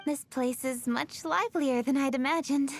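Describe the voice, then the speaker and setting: High-voiced, poised young official. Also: female voice, moderate pace, a little hiss or hum. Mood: pleasantly surprised. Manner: composed, courteous, formal. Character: poised, businesslike young female city official. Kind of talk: video game character acting.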